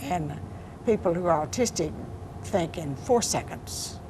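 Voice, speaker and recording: An older woman poet answering interview questions in a soft-spoken, unhurried, plainspoken way. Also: lowish voice, lively intonation. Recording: noisy background.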